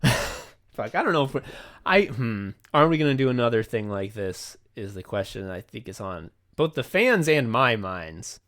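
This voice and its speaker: A man's voice: mid-range voice, big pitch swings, normal speed, a bit bright. A man co-hosting a podcast in a casual, chatty style, swearing freely. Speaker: podcast co-host. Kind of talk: casual behind-the-scenes podcast chat.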